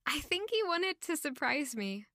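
A woman's voice: high-pitched, very animated, measured pace, pushed and bright, no echo, clean studio sound, heavily acted. A woman playing an earnest, modest young working woman who can be playfully bold, in an intimate audio drama. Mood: candid, puzzled. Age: young adult.

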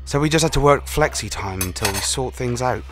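A man's voice: medium pitch, animated, brisk, over music, lightly acted. A man acting an irritable, sickly young brother who grumbles and complains in a radio play. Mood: weary grumbling.

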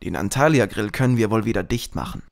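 A man's voice: on the low side, lively intonation, quick pace, vocal fry. Man playing a slick kebab shop owner. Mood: servile. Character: smooth-talking kebab grill owner, secretly conspiring henchman. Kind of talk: parody audio drama acting.

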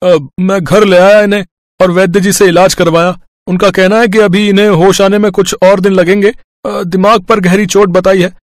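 A man's voice: sits high, level tone, normal speed, no room sound, clean studio sound, voice pushed up, close to an everyday voice. A man voicing a kindly, poor village labourer in a cartoon story, with a rustic, deferential manner. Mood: earnest.

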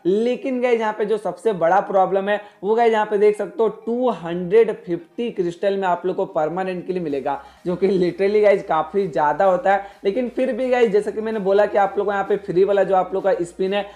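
A man's voice: high-pitched, brisk, hardly any pauses, a little mellow. A man with an Indian accent giving an informal tutorial voice-over as a gaming creator, repeatedly addressing his viewers. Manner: repetitive, constantly addressing viewers as guys.

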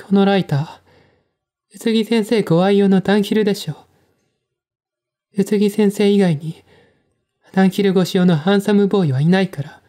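Man voicing a mellow, taunting, accusing woman. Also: high voice, moderate pace, long pauses, pitched above the performer's own voice, natural voice. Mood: pointed, accusing. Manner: theatrical, pointed, taunting. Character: hysterical, accusing woman in a murder mystery. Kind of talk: dramatized audiobook reading.

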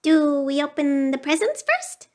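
Woman putting on a tiny high squeaky storybook mouse. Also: animated, takes it slowly, full character voice. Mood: eager. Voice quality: squeaky, small. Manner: eager, pleading. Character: tiny, eager, wistful young male mouse. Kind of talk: children's storybook character reading.

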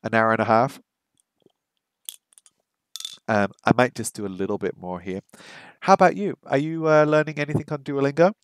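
A middle-aged man live-streaming from a small room at home on a headset mic, chatting casually and repeating practice phrases slowly. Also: medium pitch, fairly even intonation, clear, resonant tone, dry recording. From English first language, lives in Hong Kong. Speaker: streamer learning Japanese.

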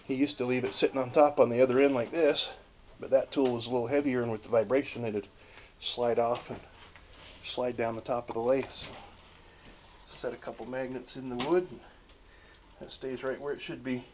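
A man giving a relaxed, folksy walk-through of a small backyard wooden workshop, filming on a handheld camera. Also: medium pitch, normal speed, a little hiss or hum. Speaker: channel host filming a shop tour. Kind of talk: casual narrated shop tour.

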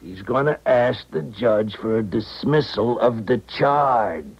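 Slow, husky, shrewd schemer. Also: male voice, mid-range voice, lively intonation, heavily acted. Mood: cool, pressing. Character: shrewd, insistent male schemer fixing a trial. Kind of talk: 1970s radio drama acting. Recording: dull old radio broadcast.

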